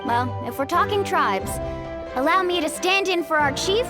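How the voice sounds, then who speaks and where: A woman's voice: very high-pitched, animated, normal speed, loud music behind the voice. An actress playing an upbeat, confident, friendly young woman in a video game, with a breezy, casual manner. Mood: cheerful. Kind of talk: English game voice acting.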